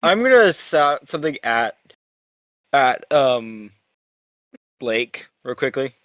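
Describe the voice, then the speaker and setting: A man's voice: mid-range voice, sing-song, slow, fairly bright tone, no room sound, clean studio sound. A man playing a tabletop game casually, narrating his character's moves with blunt talk and free swearing.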